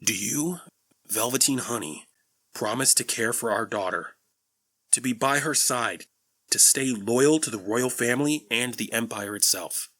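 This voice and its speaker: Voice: mid-range voice, a little slow, a bit bright, dry recording, clean studio sound. A performer voicing a royal pony father, earnest and formal, in a cartoon drama. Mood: solemn. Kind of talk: animated fan drama voice acting.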